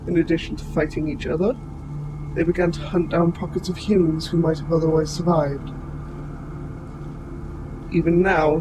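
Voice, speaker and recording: Man playing a formal, higher-voiced robot soldier. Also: close to an everyday voice, low-quality recording. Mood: grave, matter-of-fact. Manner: formal, precise, measured phrasing. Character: aging male military robot soldier, formal, gently protective caretaker. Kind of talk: scripted audio roleplay spoken to the listener. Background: music.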